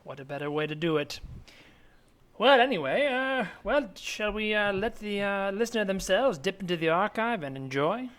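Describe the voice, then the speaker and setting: A man playing an excitable, pompous scholar from the far future in improvised comedy, gushing and halting in a mock-learned way. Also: high voice, voice pushed up. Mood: amused, smug.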